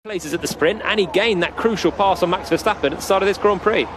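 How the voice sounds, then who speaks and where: A man giving relaxed, analytical co-commentary on a race. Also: sits high, fast talker. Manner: conversational, thoughtful asides. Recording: engine noise in background.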